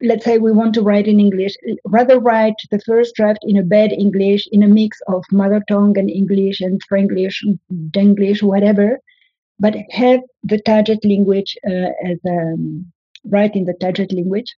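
A woman's voice: mid-range voice, level tone, slightly rough, no room sound, lo-fi sound. Female writing coach. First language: French. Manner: measured, instructive. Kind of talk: interview answers, advising.

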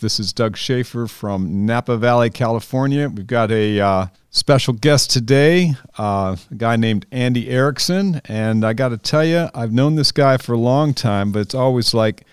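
A man's voice: mid-range voice, moderate pace, few pauses, clear, resonant tone, no echo, clean studio sound. A man from the Chicago area hosting a wine podcast, friendly and joking as he chats with a guest. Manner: friendly, upbeat, jokey. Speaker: podcast host and vintner. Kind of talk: casual interview chat.

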